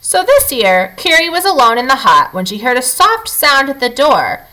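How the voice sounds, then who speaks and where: A woman storyteller with a fairly high, bright voice reads a children's picture book. Her pitch moves in lively swoops, and she leans into the drama for young listeners. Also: normal speed, rough recording. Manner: animated, dramatic emphasis, addressing young listeners. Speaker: read-aloud storyteller. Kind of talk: expressive children's picture-book read-aloud.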